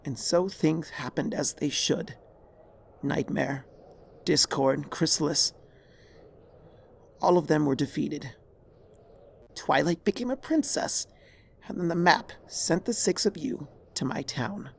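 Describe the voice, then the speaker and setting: A man voicing a dying, regretful pony mare, a remorseful former tyrant, in a weary, halting, husky voice for a dramatic story. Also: on the high side, a little hiss or hum, voice pushed up. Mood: weary, grave. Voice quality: raspy, husky, creaky.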